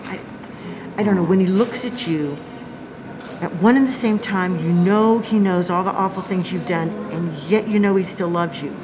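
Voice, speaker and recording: A woman's voice: lowish voice, moderate pace, close to an everyday voice. Earnest, hesitant woman in a radio play, noisy background. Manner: hesitant, searching for words. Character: earnest, devoted woman convinced by a new leader.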